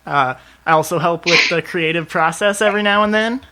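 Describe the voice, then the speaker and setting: Bright, lower-pitched woman guest. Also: brisk. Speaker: performer guest. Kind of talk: casual self-introduction.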